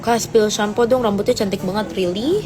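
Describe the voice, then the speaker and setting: A teenage girl chats on a phone livestream, reading out comments in a playful, teasing way. Her pitch sits in a middle range for a woman, and clear music plays behind her throughout. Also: normal speed. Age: teens. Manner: teasing, playful, rises high when mock-outraged. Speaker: livestream host. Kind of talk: casual live chat reading comments.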